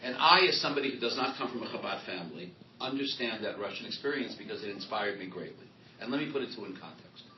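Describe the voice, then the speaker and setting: A middle-aged man, a rabbi and author, speaking emphatically and loudly in a live interview, telling stories. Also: on the low side, animated, quick pace, a little hiss or hum. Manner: emphatic, loud, storytelling. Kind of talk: live panel interview before an audience. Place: event hall with audience. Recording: roomy hall, distant mic, dull lo-fi sound.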